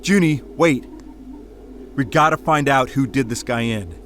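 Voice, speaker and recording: A man acting as a wisecracking, excitable hardboiled detective type in a noir audio drama, with slangy tough-guy talk. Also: mid-range voice, measured pace, a little hiss or hum, close to an everyday voice. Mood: businesslike. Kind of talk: hardboiled noir radio-play narration and dialogue.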